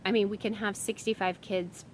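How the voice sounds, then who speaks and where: A woman's voice: medium pitch, fairly even intonation, moderate pace, slight background noise, poor audio. A woman in her thirties with a summer cold, chatting casually on her vlog as a homeschooling mom. She rambles a little, with lots of fillers and restarts. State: has a summer cold, voice a bit strained.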